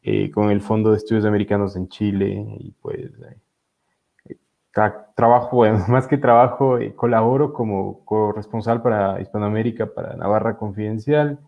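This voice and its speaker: A man's voice: lowish voice, steady tone, moderate pace, gravelly. A young man from Ecuador, a law student, explaining politics as an interview guest in a hesitant way with many fillers, heard over a video call. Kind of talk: casual interview talk. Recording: dull lo-fi audio.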